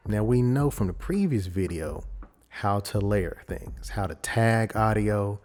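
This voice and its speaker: A man presenting a casual software tutorial, explaining informally and thinking aloud as he goes. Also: fairly low pitch, expressive, unhurried. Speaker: audio editing tutorial presenter.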